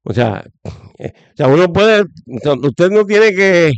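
A Puerto Rican man with a Puerto Rican accent, giving forceful opinions as a guest, with lots of fillers and restarts. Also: sits high, lively intonation, measured pace, no echo, lo-fi sound. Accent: Puerto Rican Spanish. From Puerto Rico. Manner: emphatic, many fillers and restarts. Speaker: guest commentator. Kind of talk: opinionated conversational commentary.

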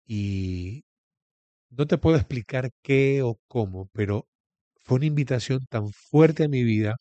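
A man's voice: mid-range voice, measured pace, dark tone, no room sound, highs cut off. A man from Venezuela, a guest pastor giving his testimony in an interview in an earnest, preacherly storytelling way.

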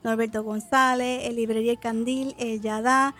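A woman's voice: on the high side, level tone, normal speed, a bit bright. A woman from Puerto Rico with a Puerto Rican accent, a guest author chatting in a friendly, self-deprecating way. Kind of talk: casual interview talk.

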